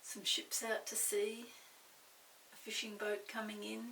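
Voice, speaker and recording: An older woman tells a picture-book story to young children at a very slow pace. She pauses to describe and question as she goes. The recording is echoey and a little distant from the mic, with faint hiss behind her. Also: mid-range voice, monotone, vocal fry, fairly bright tone. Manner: unhurried, pausing to describe pictures and ask questions.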